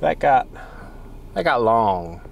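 A man's voice: medium pitch, sing-song, takes it slowly, vocal fry, a little hiss or hum. Man, thirties, travel vlogger, casual chat, car interior, camera at arm's length. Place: inside a parked car.

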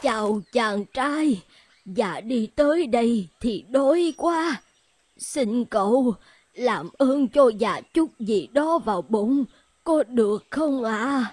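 A woman's voice: fairly high pitch, expressive, slow pace, no room sound. An actress voicing a humble, hungry old beggar woman in a cartoon. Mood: pleading. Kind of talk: animated cartoon dubbing. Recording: loud music behind the voice.

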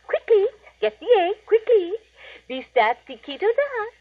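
An actress playing a haughty, gushing beauty salon owner with an affected, pompous manner in a 1940s radio play. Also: female voice, very high voice, big pitch swings, a little slow, no room sound, narrow, tinny audio, heavily acted. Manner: affected, pompous, coolly condescending. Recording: thin, band-limited old radio recording.

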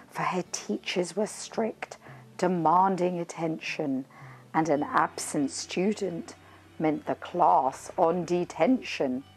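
A middle-aged woman reading a rhyming children's story aloud with dramatic, deliberate phrasing. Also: fairly low pitch, darkish tone, slightly rough. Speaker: children's author reading own book. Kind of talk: expressive read-aloud storytelling in rhyming verse.

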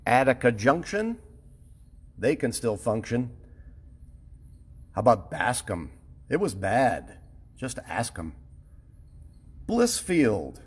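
Midwestern male weatherman, very slow and lively sing-song rhymes, husky tone, long reverb. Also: lowish voice. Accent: Midwestern American. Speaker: TV chief meteorologist. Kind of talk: rhyming weather recap.

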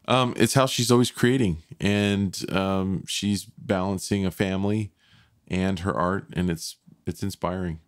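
Male co-host, fifties, low register, casual delivery, dry close sound. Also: fairly even intonation, measured pace, smooth, no echo. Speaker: podcast co-host. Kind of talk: casual podcast chat. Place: home room, video call.

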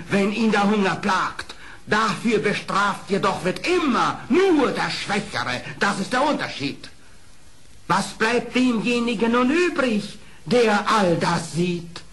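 An actor playing a sly, proud, eloquent fox in a radio play, speaking in a theatrical, deliberate way. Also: male voice, high-pitched, a bit bright, full character voice.

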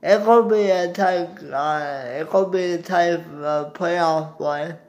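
A young man talks through a casual sports recap, recorded on a phone in a room at home. His voice sits a little high for a man. He speaks slowly and haltingly, often searching for the next word. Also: darkish tone. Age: young adult. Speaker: sports vlogger. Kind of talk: casual postgame basketball recap. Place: small indoor room at home. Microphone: phone at arm's length.

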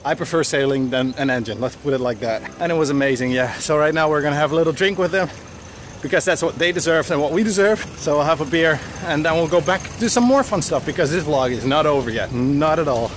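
A man's voice: on the high side, fast talker, slight background noise. Upbeat Dutch man vlogging outdoors. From Netherlands. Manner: enthusiastic, chatty. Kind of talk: casual travel vlog. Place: outdoors by the sea and on a sailboat. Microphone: action camera held at arm's length. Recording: music playing underneath.

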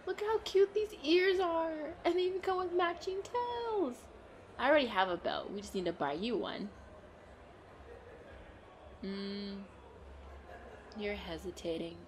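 A woman playing a playful, excitable girlfriend in a chatty roleplay audio, with a bubbly, expressive delivery. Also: sits high, a little slow, pauses often, a little hiss or hum. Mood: excited, teasing. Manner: bubbly, expressive, frequent giggles and exclamations. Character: playful, excitable, pleading girlfriend out costume shopping.

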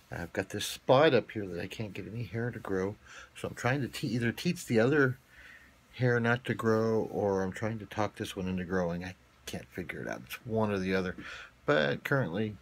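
Middle-aged male vlogger, low-pitched and casual, small home room, faint background noise. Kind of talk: casual vlog chat to camera. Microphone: handheld or desk camera at arm's length.

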